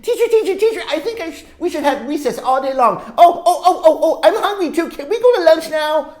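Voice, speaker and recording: A woman voicing an excitable, impatient young schoolboy in a picture-book story, with exuberant delivery. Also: extremely high pitch, a little fast, voice pushed up. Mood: excited, erupting. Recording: roomy echo.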